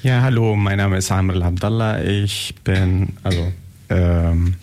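Guest, man, 36, very low and gravelly, slow, halting with fillers. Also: fairly even intonation, darkish tone. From Germany. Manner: hesitant, many fillers. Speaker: guest, association board member. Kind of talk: radio interview.

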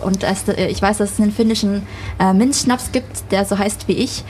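A woman's voice: medium pitch, steady tone, moderate pace, darkish tone, slight background noise. A young adult woman interviewing, asking curious, probing questions.

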